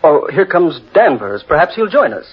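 An actor playing a breezy, skeptical English gentleman with a British accent and jaunty upper-class phrasing in a 1940s radio drama. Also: a little high, big pitch swings, moderate pace, slightly rough, heavily acted. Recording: muffled old radio recording.

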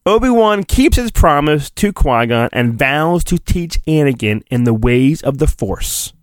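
A man's voice: fairly high pitch, expressive, measured pace, no echo. A man hosting a podcast, reading a storybook in a mock-dramatic, playful way with casual asides.

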